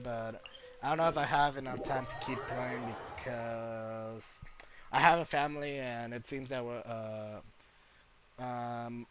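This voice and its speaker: A young man chatting casually on a game livestream in a halting, hesitant way. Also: medium pitch, fairly bright tone, slight background noise. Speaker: game streamer. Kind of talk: casual livestream chat and commentary. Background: game music.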